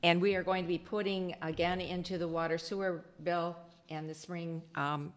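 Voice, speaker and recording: Chatty woman trustee with a loud voice. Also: on the low side, flat delivery, normal speed, clean tone. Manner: chatty, many filler ums. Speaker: village trustee. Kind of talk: council meeting report.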